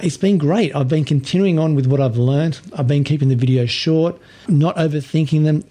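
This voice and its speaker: A man hosting a podcast, hoarse and scratchy from a sore throat, talking in a relaxed, chatty way with small restarts. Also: medium pitch. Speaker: podcast host. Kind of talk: casual podcast monologue.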